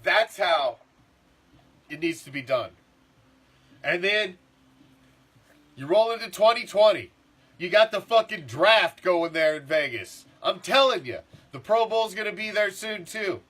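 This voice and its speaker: A middle-aged man, congested and rough-throated from the flu, ranting casually as a sports podcast host, swearing and repeating himself. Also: sits high, lively intonation, a little slow, long pauses, fairly bright tone. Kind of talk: profane casual sports rant.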